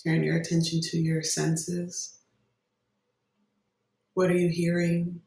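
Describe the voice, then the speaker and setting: A meditation guide giving gentle, unhurried instructions with long pauses between phrases. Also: medium pitch, monotone, vocal fry. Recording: roomy echo, distant microphone.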